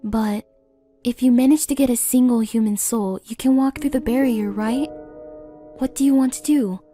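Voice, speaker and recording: Woman as a human child, high, mellow, soft, questioning, determined, faint music, comic dub. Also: normal speed, close to an everyday voice. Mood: earnest. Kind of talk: fan comic dub acting.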